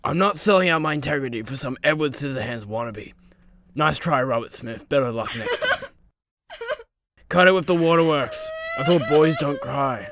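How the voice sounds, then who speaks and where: A woman's voice: sits low, sing-song, normal speed, slight background noise. A woman playing a blunt, sarcastic superhero who mocks others in a comedy radio play. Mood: mocking. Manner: sarcastic, mocking. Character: snarky, blunt female superhero judging tryouts.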